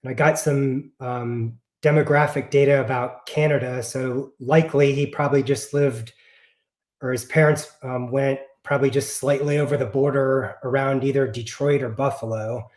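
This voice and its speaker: A man informally presenting research findings over an online call, with a halting, hesitant delivery. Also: medium pitch, steady tone, a little mellow, reverberant.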